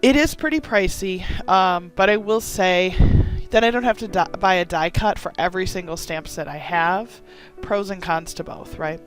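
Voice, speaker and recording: A hoarse woman from Wisconsin hosting a casual card-making tutorial, talking with gushing enthusiasm. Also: on the low side, moderate pace, soft background music. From Wisconsin, USA. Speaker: craft channel host.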